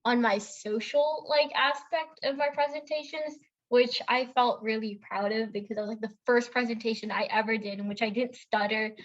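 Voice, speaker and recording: A woman's voice: a little high, fairly even intonation, moderate pace, lo-fi sound. A young woman from India telling a personal story in a casual chat, with lots of filler words and restarts. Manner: chatty storytelling with many fillers and self-corrections. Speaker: podcast co-host.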